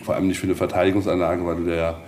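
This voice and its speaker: A man with a low, mellow voice talks as a tour guide. He explains informally, step by step, pausing as he goes. There is faint location noise around him. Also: level tone. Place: on location in an old castle tower.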